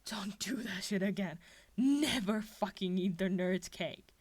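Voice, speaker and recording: A woman voicing a gruff, foul-mouthed and irritable teenage boy, curt in manner, in a fan fiction reading. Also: medium pitch, measured pace, darkish tone, close to an everyday voice. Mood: stern warning. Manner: curt, swearing.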